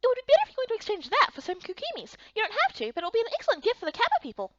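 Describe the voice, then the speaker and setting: A woman's voice: very high-pitched, wildly swooping pitch, rapid-fire, fairly bright tone, no room sound, highs cut off, pitched above the performer's own voice, exaggerated voice. A woman voicing a bubbly, chatty, kindly female fairy in a visual novel game. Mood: chatty, friendly. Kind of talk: voiced playthrough of fantasy visual novel.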